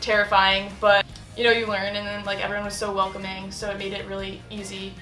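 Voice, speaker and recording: A young woman chats casually in an interview, her voice bright and forceful. She fills her talk with likes and ums and laughs easily. The room rings with echo, and faint music plays under her. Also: mid-range voice, completely flat delivery, fast. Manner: chatty, many fillers and laughs.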